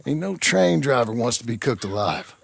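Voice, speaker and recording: An actor playing a rough, eager American outlaw in a video game, gravelly, plain-spoken and folksy. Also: male voice, medium pitch, very animated, moderate pace, heavily acted. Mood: eager, persuading. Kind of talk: video game character acting.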